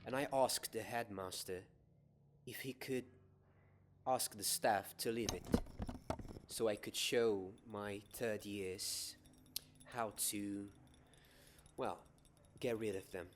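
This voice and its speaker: Gentle wizard professor, played by a young man for ASMR roleplay: soft, slightly low, unhurried, encouraging, slipping into whispers. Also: steady tone, noisy background. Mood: calm, encouraging. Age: young adult. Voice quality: soft-spoken, often dropping into whispers. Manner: measured, encouraging teacher, repeating words softly. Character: gentle wizard professor teaching a magic class.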